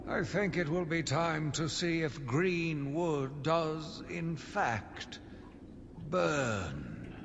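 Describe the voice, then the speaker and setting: A sinister zealot's slow, theatrical monologue rolls through long reverb over a noisy ambient bed. this self-righteous game villain, swinging widely in pitch as he broods. Every phrase is weighed with fanatical disgust and conviction. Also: heavily acted. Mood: cold menace. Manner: theatrical, deliberate, brooding delivery with dramatic emphasis. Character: sinister, zealous, self-righteous madman obsessed with punishing monsters. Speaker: voice actor playing a villain narrator. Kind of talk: dramatic scripted monologue, audio journal from a video game.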